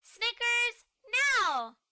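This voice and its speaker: A woman voicing an eager, playful, inquisitive young girl puppy from a cartoon storybook, excitable and full of questions. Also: extremely high pitch, very slow, voice pushed up, exaggerated voice. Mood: excited.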